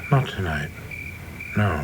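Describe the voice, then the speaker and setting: A reserved, ambitious government man in a radio drama. His voice is deep, his manner formal, dutiful and controlled, with faint noise in the background. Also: expressive, slow, poor audio. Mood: weary, resolute. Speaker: actor in a radio play. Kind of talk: dramatic radio play acting.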